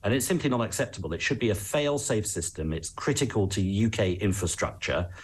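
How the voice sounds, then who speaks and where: Male English expert pundit, deep pitch, measured and articulate, earnest interview delivery. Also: breathy edge. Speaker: travel expert, interview guest. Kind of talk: news interview commentary.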